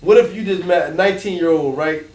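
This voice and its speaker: A man's voice: fairly high pitch, fast, darkish tone. A man in his early thirties chatting casually as a podcast co-host, slangy and full of probing questions. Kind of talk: casual chat. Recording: roomy echo, some background noise.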